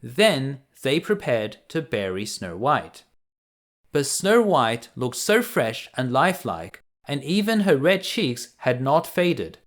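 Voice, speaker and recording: A man reading a fairy tale aloud to children as a bedtime storyteller, deliberate and expressive. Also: medium pitch.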